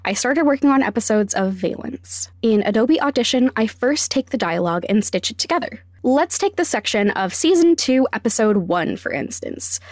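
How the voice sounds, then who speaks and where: A woman hosting a podcast, giving scripted instructional narration with deliberate, emphatic step-by-step phrasing. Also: mid-range voice, no room sound, studio quality. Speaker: podcast host, sound designer.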